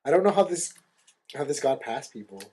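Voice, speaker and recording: A man co-hosting a podcast, talking informally and explaining things in a halting way. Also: fairly high pitch, sing-song, warm tone, breathy edge. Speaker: podcast co-host. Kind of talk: casual chat.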